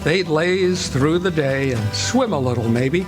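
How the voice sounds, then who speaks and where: A man's voice: a little high, lively intonation, unhurried. Man as folksy narrator over guitar music. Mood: easygoing. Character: folksy storybook narrator of a river adventure. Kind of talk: scripted radio play narration.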